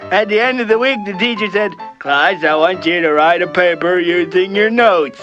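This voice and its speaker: Goofy cartoon creature, played by a man, loud, childlike, bright, declaiming; dull lo-fi sound with music. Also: high-pitched, moderate pace. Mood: goofy, excitable.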